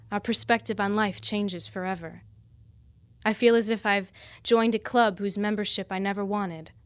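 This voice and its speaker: An actress playing an earnest, confiding mother in a radio drama, reading a personal letter in a measured way. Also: medium pitch, no room sound, close to an everyday voice. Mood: sober. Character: Julie, grieving mother of a sick baby girl, earnest, confiding.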